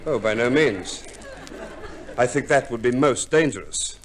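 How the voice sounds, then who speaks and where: An actor playing a fussy, pompous divorce lawyer, formal and precise, in 1950s radio drama style. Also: male voice, mid-range voice, lively intonation, a little slow, a faint crowd, natural voice. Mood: solemn, precise. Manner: formal, precise, unctuous, hesitant hums. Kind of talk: 1950s radio play acting.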